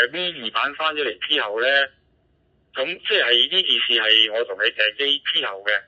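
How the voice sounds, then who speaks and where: Hong Kong man on muffled two-way radio. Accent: Hong Kong Cantonese. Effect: two-way digital radio. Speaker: amateur radio operator. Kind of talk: casual radio chat. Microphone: radio transceiver microphone. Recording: muffled low-fidelity digital radio transmission.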